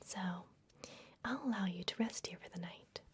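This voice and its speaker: A woman whispering gently and unhurriedly in a breathy voice, playing a kindly vampire librarian in an ASMR fantasy roleplay. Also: medium pitch, normal speed, a little hiss or hum. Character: kindly vampire librarian hosting a human guest. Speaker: ASMR performer.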